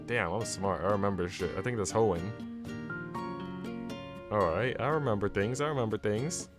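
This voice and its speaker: Low-voiced male streamer over guitar music. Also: normal speed. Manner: slangy, joking, swears casually. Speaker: live streamer. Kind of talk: casual stream chat and reading a visual novel aloud. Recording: music playing behind the voice. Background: plucked guitar music.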